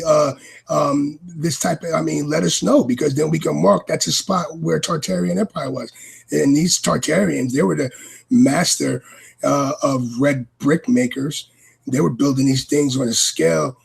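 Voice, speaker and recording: New York man, gravelly husky voice, slightly high, rambling casual talk. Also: rough recording. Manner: rambling, animated, drawn-out "man" asides. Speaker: podcast guest. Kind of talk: casual chat.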